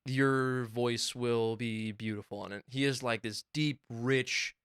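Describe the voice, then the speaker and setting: Young male podcast co-host, chatty and excitable, swears freely, clean dry mic. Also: mid-range voice, slow, smooth, no echo. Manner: excited, swearing, rambling storytelling. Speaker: podcast co-host and music producer. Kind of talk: casual chat. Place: small home studio.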